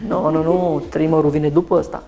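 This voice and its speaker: A man's voice: on the high side, little pitch movement, vocal fry, music in the background. A man in his thirties from Romania, a barber who explains each step of a haircut while he works, talking through it in a small shop.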